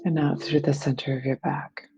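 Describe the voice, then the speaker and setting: A person leading a guided meditation in a soothing, unhurried manner. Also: medium pitch, little pitch movement, dark tone, muffled recording. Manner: soothing, unhurried phrasing with breathy releases.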